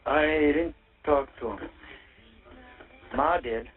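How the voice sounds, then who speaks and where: A man's voice: medium pitch, takes it slowly, soft background music. A man in a casual phone conversation, speaking plainly and tersely over a recorded phone line. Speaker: receiver of a jail phone call.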